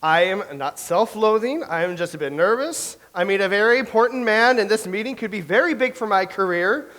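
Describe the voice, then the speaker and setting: An actor playing a nervous, self-doubting Elizabethan playwright who stammers and speaks theatrically in a staged reading. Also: male voice, high voice, lively intonation, brisk, fairly bright tone. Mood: pompous. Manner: theatrical, fretful, stammering soliloquy. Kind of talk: staged reading of a comedy play.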